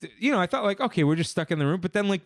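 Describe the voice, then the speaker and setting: A man's voice: high-pitched, rapid-fire, dry recording, clean studio sound. A young man who grew up speaking Armenian, chatting casually as a podcast co-host and telling wedding stories with quoted voices. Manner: animated storyteller, quotes people in voices. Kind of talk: casual chat.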